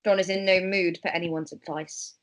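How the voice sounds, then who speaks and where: A woman's voice: fairly low pitch, little pitch movement, moderate pace, fairly bright tone. A woman reading scripted narration and stage directions in an amateur table read.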